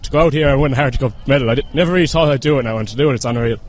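Eager Irish teenage hurler. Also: male voice, mid-range voice, very fast. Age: teens. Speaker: school hurler. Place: outdoors on a stadium pitch. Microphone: interviewer's handheld mic.

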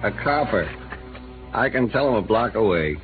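An actor playing a drunk, sardonic newspaper reporter in an old radio drama. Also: male voice, lowish voice, lively intonation, normal speed, narrow, tinny audio, over music, natural voice. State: tipsy.

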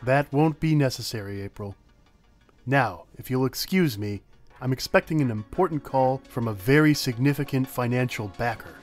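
A man playing a smug, pompous male inventor in an animated comic drama, with a grandiose, showy delivery. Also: mid-range voice, normal speed, faint music behind, natural voice. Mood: smooth, dismissive. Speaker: actor in a motion comic. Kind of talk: theatrical animated drama acting.

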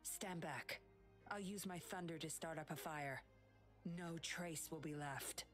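Cool, low-voiced commanding lady. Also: a little slow, fairly bright tone, faint music behind. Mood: composed, firm. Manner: composed, authoritative, clipped orders. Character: cool, commanding red-haired woman, firm but caring. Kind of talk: English video game voice acting.

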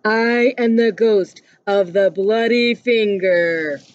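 A woman voicing a moaning ghost with a bloody finger, drawing each word out slowly. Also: mid-range voice, dull audio, lightly acted. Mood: eerie moaning. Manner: slow drawn-out moaning.

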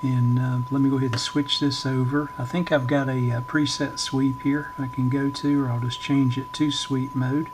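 Man, monotone, hesitant short phrases, informal tutorial host, mid pitch, noisy recording. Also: moderate pace, hardly any pauses. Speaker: electronics repair channel host, demonstrator. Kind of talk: informal hands-on tutorial demonstration. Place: indoors at a workbench.

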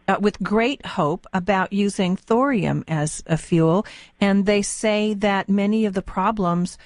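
An American woman hosts a radio interview in a medium-pitched voice, recorded dry with little room sound. She is chatty and informal, often stopping and restarting as she works her questions out aloud. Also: dry recording. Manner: chatty, halting, rephrases questions as she goes.

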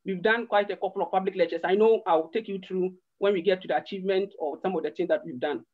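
A man's voice: high-pitched, quick pace. Man, 30s, Ghanaian accent, earnest, repeats words, muffled video-call sound. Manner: earnest, passionate, repeats words while searching for them. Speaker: guest, organisation founder. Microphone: laptop webcam on video call.

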